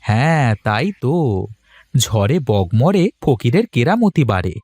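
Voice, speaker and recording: A man's voice: medium pitch, very animated, measured pace, a little mellow, dry recording. A man voicing a bossy, grumbling but doting village husband in a cartoon. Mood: teasing. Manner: bossy, emphatic. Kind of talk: cartoon voice acting.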